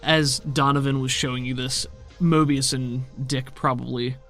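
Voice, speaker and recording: A man's voice: mid-range voice, fairly even intonation, moderate pace, a bit bright, soft background music. A man narrating scenes as the game master of a tabletop roleplaying game.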